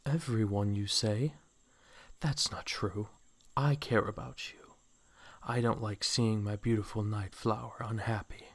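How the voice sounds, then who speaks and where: A man's voice: fairly low pitch, steady tone, unhurried. A man acting a suave, possessive snake man in a comic dub, coaxing with flowery, condescending endearments. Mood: tender coaxing. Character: suave, possessive snake man courting his captive love.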